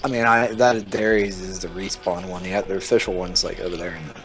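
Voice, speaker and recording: A man with a lowish voice chats as co-host during a video game. His talk is informal and emphatic, and music plays softly underneath. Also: steady tone, fast talker. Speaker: co-host of a gaming chat show. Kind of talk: casual chat while playing a video game.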